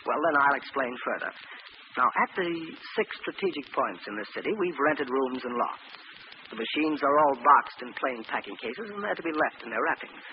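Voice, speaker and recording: A man's voice: mid-range voice, animated, lightly acted. A man acting a scheming, persuasive scientist who speaks formally and precisely in a 1940s radio drama. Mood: instructing. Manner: formal, measured, instructing. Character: smooth-talking, scheming male scientist, controlling and persuasive. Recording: muffled, noisy old radio broadcast.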